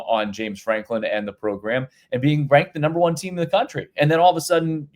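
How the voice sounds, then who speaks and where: A middle-aged man chatting casually as a podcast co-host, voice sitting at an ordinary pitch. He rambles, doubles back on himself and repeats words as he thinks aloud. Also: darkish tone. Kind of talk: casual sports talk.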